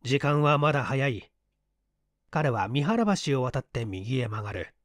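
A man reading a mystery story as scripted literary narration, in a measured storytelling manner. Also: medium pitch, no echo. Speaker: audiobook reader, stage actor.

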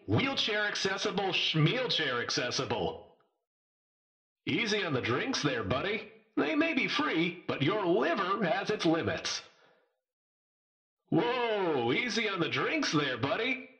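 A man's voice: sits high, bright, forceful tone, heavily acted. A man playing a relentlessly upbeat, slick institute announcer in a video game, with a showy, cheesy salesman delivery over a roomy PA. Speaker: facility announcer. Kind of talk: PA announcement acting. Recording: roomy echoing PA sound.

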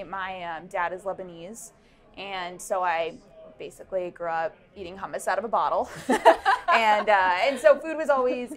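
Young female founder, lapel-miked at a busy event; thoughtful, halting delivery with frequent ums. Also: medium pitch. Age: young adult. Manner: thoughtful, many ums and restarts. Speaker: startup founder. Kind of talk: interview answers. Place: busy indoor networking event. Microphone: lapel mic.

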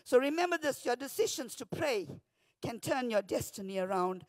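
A woman pastor with a South African accent, preaching a morning devotion from a church pulpit into a handheld mic, often shouting, then dropping to a hush. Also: on the high side, expressive, normal speed, no echo, studio quality. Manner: fervent, often shouting, swings from loud to hushed. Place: church stage behind a pulpit. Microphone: handheld microphone.

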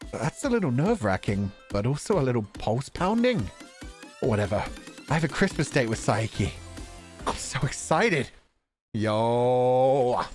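A man plays a visual novel and reads the lines aloud. His mid-pitched voice swings widely and playfully as he adds jokey asides. Game music plays behind him. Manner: animated, stammering for effect, jokey. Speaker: streamer. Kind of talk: playful let's play, reading game dialogue aloud with ad-libs.

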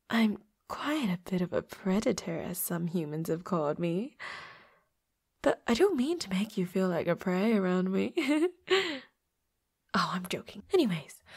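A woman's voice: medium pitch, a little mellow. A woman voicing a flirty, playfully predatory vampire roommate in an intimate audio roleplay, with a coy, teasing manner. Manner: coy, giggly, teasing, drops into breathy whispers.

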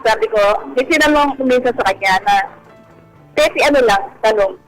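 A woman speaks as a caller on a radio advice programme. She shares her own story in a casual way, with halting and broken-up phrasing. Faint music plays underneath. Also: a little high, poor audio.

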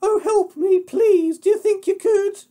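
A tiny storybook pig in a very high, piercing falsetto. It is loud, pleading and frightened, yet plucky. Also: fairly even intonation, unhurried. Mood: panicked pleading. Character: small, frightened but plucky little pig.

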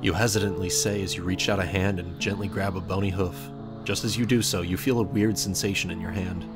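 A man's voice: deep voice, fairly even intonation, a little fast, loud music behind the voice. A man reading scripted second-person fan fiction as a dramatic storyteller. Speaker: narrator.

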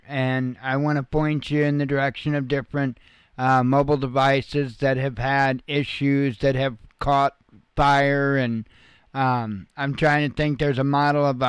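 A man's voice: mid-range voice, clear, resonant tone, dry recording. A man giving informal news commentary as a channel host, explaining things in a folksy, plain-spoken way.

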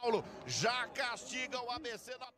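High-voiced Brazilian football commentator shouting. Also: male voice, big pitch swings. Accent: Brazilian Portuguese. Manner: shouts goal calls, rapid play-by-play. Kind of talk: excited live match commentary.

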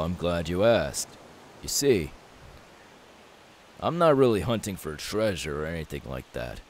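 A man playing a confident, friendly young pirate captain in an ASMR audio roleplay, easygoing, unhurried and reassuring. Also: low-pitched. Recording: steady background hiss.

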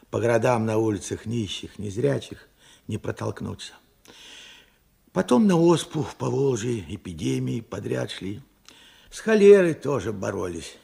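Radio drama elder, late seventies: husky, heavy creak, reflective, with swooping intonation. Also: male voice, fairly low pitch, darkish tone, full character voice. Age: 70s. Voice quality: gravelly, husky. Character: weary, frank 78-year-old Russian worker recalling his life. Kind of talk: Soviet radio drama acting.

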